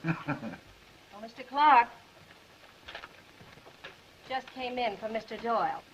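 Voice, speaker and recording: A gossipy hotel desk girl in a 1930s picture. Her voice is fairly high, with faint noise from the old soundtrack. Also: expressive, slow pace. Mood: chatty, businesslike. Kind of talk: 1930s film acting. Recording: noisy 1930s film soundtrack.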